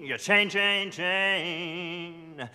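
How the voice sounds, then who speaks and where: A man's voice: high-pitched, extremely slow, bright, forceful tone, faint music behind. A man singing a song in an operatic style at an audition. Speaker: audition singer. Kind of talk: slow operatic solo singing. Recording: long hall reverb.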